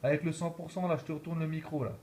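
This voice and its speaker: A man's voice: a little high, fairly even intonation, racing, darkish tone, slight background noise. A man chatting casually as an amateur radio operator, often ending his phrases with little tag words. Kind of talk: casual radio contact chat.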